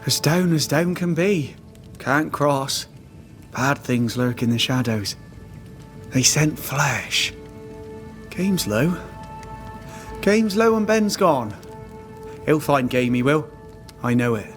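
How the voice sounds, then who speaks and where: An actor playing a haunted, starving trapper, simple, devout and loyal, speaking in plain rustic phrases in a game drama. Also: male voice, medium pitch, expressive, faint music behind. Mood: wistful, uneasy. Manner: rustic dialect phrasing, plain halting sentences. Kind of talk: dramatic video game cutscene acting.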